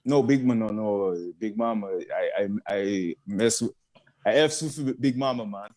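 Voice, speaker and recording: A man's voice: lowish voice, normal speed, darkish tone. A young man with a Caribbean accent, a guest comedian chatting casually and rambling as he searches for words. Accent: Caribbean English. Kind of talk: casual chat.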